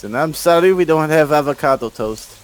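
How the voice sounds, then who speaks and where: A man's voice: a little high, animated, brisk, lightly acted. A man playing a humble older villager who speaks simple, broken English in a meek, hesitant way.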